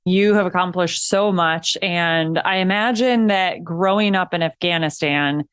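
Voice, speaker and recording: A woman's voice: lowish voice, measured pace, fairly bright tone, clean tone. A woman hosting a podcast interview, enthusiastic and asking long prepared questions. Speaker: podcast host.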